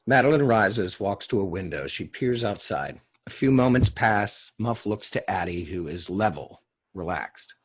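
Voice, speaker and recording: A man's voice: on the low side, lively intonation, a little slow, breathy edge. A man narrating screen directions as a reader at a TV drama table read.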